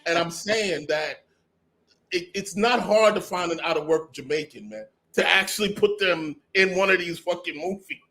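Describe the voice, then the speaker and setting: A man's voice: high-pitched, expressive, normal speed, a bit bright. A man co-hosting a casual chat, opinionated and swearing often. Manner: opinionated, profane, laughing.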